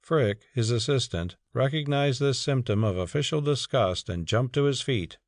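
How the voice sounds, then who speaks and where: A man's voice: mid-range voice, normal speed, no room sound. A man narrating a story as a scripted, dramatic audiobook reading.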